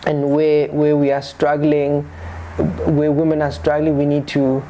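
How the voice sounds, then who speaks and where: A man's voice: on the high side, completely flat delivery, unhurried, warm tone, low-quality recording. A man hosting a conversational discussion in a hesitant, reflective way. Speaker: podcast host, therapist. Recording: clear background noise.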